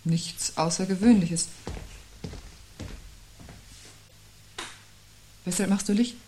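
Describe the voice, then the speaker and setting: An actress playing a sardonic, sceptical wife in a 1970s radio drama, speaking with dry, pointed irony. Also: female voice, medium pitch, moderate pace, dark tone, slight background noise, natural voice. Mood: cool, pointed. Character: sardonic, sceptical wife parrying her husband's unease.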